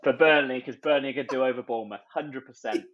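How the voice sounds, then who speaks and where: A man guesting on a podcast speaks in eager, quick bursts, often poking fun at himself. His voice comes through a dull, lo-fi line, set in a middle register. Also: medium pitch, a loud crowd. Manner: excitable, quick bursts, self-mocking. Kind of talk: casual chat.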